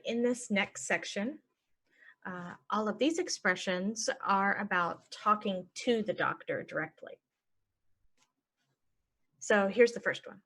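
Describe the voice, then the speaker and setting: A woman teaching English phrases, saying each one and then repeating it slowly and clearly for learners. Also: mid-range voice, a bit bright. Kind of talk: slow phrase-by-phrase language lesson.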